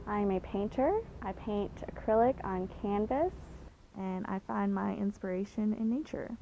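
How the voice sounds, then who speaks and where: A woman's voice: medium pitch, unhurried, slight background noise. A woman in her thirties, a painter, introducing herself and her work to camera in a friendly way from her exhibit booth. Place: indoor art exhibit booth. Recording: thin phone-like audio.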